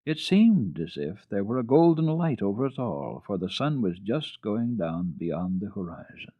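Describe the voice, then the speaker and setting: A man giving a deliberate, expressive dramatic reading of a children's classic as a radio storyteller. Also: fairly low pitch. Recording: narrow-band, echoey old radio recording.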